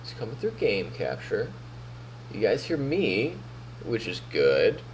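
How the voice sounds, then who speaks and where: A man's voice: fairly low pitch, slow, slight background noise, rough recording. A man streaming on a weekend morning, chatting casually with a groggy, froggy morning voice. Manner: relaxed, talking to himself while troubleshooting. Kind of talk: casual live-stream chat.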